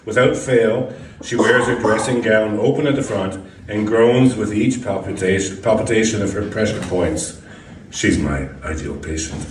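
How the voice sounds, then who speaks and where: Low-voiced man reading, echoey and distant. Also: moderate pace. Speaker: reader of a short story. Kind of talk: scripted narration, live literary reading. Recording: echoey room, distant mic, background noise.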